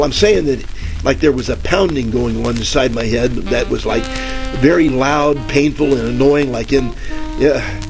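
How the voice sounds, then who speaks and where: An actor playing a bumbling, cowardly private detective who rambles and stammers in a 1940s radio parody style. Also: male voice, mid-range voice, creaky, close to an everyday voice. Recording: crackly old-time radio with music.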